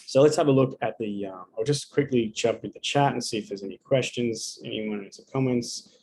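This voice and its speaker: A man's voice: medium pitch, a little fast, a little mellow, slight background noise, rough recording. A man giving a live astrology reading over a video call, talking casually and bluntly and swearing often.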